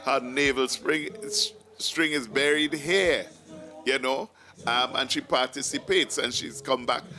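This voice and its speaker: A man's voice: mid-range voice, steady tone, a little slow, pushed and bright, faint music behind. Chatty Grenadian male commentator. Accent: Grenadian with a Trinidadian tinge. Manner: conversational, reflective, many "you know"s. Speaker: broadcast commentator. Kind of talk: live event commentary.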